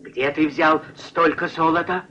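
A man's voice: fairly high pitch, steady tone, measured pace, heavy background noise, lightly acted. A man voicing a greedy, pompous, fat rich man from a fairy tale, demanding and blustering. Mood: indignant, commanding. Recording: dull vintage film soundtrack, music behind.

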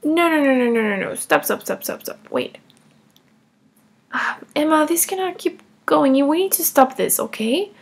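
A woman playing a frazzled pleading mom, raspy edge. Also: high voice, lively intonation, moderate pace. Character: flustered mother of a young girl. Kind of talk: comedy skit role-play.

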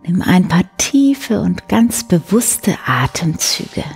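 A woman in her forties hosting a bedtime show, guiding the listener gently and soothingly with a soft, breathy voice. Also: on the low side, very animated, takes it slowly. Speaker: host of a bedtime story show. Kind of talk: guided sleep meditation, soft bedtime storytelling. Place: indoor room beside a wood stove. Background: soft music.

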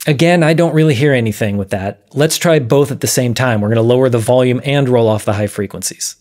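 A man in his thirties presenting an explanatory video lesson in a measured, methodical way. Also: medium pitch, darkish tone. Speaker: presenter of an audio science series. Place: small dim room, indoors.

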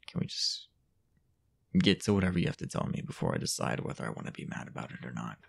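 A young man playing a possessive, jealous, dominant boyfriend in an intimate audio roleplay, halting and teasing, often dropping toward a whisper. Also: very deep voice, big pitch swings, a little hiss or hum, exaggerated voice. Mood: wary, impatient. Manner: halting, teasing, breathy half-whispered asides.

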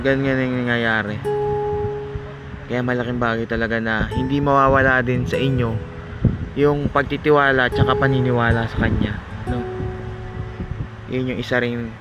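A young man from the Philippines talks earnestly into a camera held close, thinking aloud and circling back with fillers. He sits outdoors at night, with a mid-pitched voice. Music plays clearly in the background. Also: rough recording. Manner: reflective, earnest, rambling with fillers. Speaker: vlogger. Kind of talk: casual chat. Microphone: camera held close in selfie framing.

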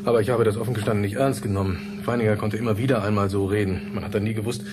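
Actor as a thoughtful scientist, noisy backdrop. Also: male voice, lowish voice, fairly even intonation, racing, lightly acted. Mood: reflective. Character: thoughtful male scientist, wistful and wry. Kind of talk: radio drama acting.

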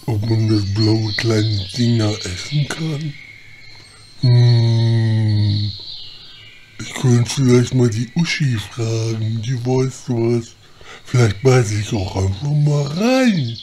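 A man's voice: mid-range voice, slow pace, background noise, close to an everyday voice. A man voicing a homely, plain-spoken, hesitant male deer in a comedy audio drama. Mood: puzzled, dopey. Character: dim-witted then wise male deer, slow and homely.

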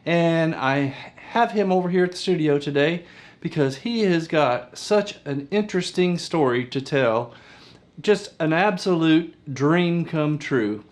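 A man in his forties hosting a studio interview, chatty and quick to laugh, asking long rambling questions into a lapel mic. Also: a little high, animated. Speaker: interview host. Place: small TV studio with green screen.

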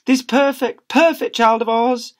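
A storybook doting father, acted out by a man, pitched high and syrupy. He gushes in sing-song baby talk and is always close to tears. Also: measured pace. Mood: adoring. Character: gushing, weepy doting father teacher, saccharine baby talk.

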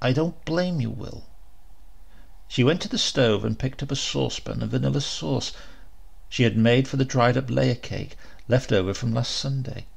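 A man's voice: mid-range voice, moderate pace, gravelly, husky. A man narrating a horror story as a dramatic audiobook reading.

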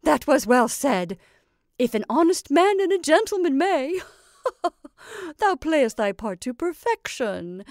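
A high, sharp-voiced old witch, as a woman reads her, cooing over her creation in old-fashioned speech. Her pitch sits well above a plain speaking voice and rises sharply when she calls out. The sound is dry and close. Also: very animated, takes it slowly, no echo, exaggerated voice. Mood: gleeful. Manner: fond and coaxing, archaic speech. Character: cackling old witch mother, doting, sharp-tongued.

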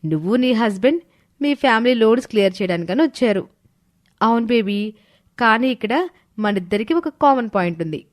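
Actress as young office-going wife, earnest, slightly high voice, story cartoon. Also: female voice, lively intonation, brisk, pauses often, close to an everyday voice. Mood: friendly, earnest. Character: young working wife and her chummy office friend. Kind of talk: animated moral story dialogue.

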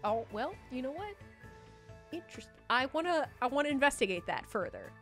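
Girl doing lets play chat, kinda high voice, animated n swears a lot, game music low behind. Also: brisk. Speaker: let's play host. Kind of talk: casual gameplay commentary with a co-host.

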